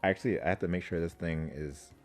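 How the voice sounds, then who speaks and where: Low-voiced man chatting casually. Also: steady tone, quick pace, darkish tone, faint music behind. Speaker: reaction video host. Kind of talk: casual chat to camera.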